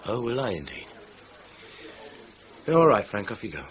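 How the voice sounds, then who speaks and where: An actor playing an impatient British chief inspector in a radio crime drama, curt and sardonic. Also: male voice, lowish voice, big pitch swings, a little slow, a bit bright. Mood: sardonic, irritable. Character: gruff, impatient male police chief inspector. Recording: dull, hissy radio drama recording.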